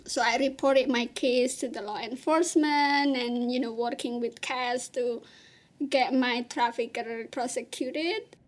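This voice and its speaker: Indonesian accent woman telling her story, high voice, lots of ums and pauses. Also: fairly even intonation. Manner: plain, halting, with many fillers. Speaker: interviewee. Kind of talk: personal testimony.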